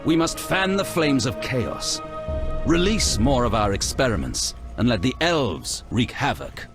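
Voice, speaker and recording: Video game villain: fanatical knightly grand master, grandiose orders, hugely dynamic intonation, loud music underneath. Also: male voice, medium pitch, big pitch swings, unhurried. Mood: commanding. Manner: imperious, grandiose, declamatory. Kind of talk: dramatic video game acting.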